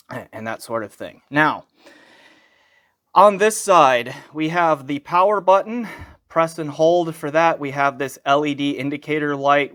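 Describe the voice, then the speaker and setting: American male reviewer, medium pitch, informal hands-on walkthrough with rambling asides and self-corrections. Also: a bit bright. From United States. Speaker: product reviewer. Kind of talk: casual hands-on gear review.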